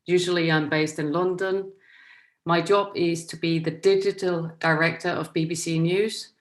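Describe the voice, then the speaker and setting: Woman, Danish accent, middle-aged, low-pitched, earnest lecture, video call mic. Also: fairly even intonation, moderate pace. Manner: earnest, plain-spoken, reading partly from a script. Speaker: guest lecturer. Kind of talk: inaugural lecture to journalism students.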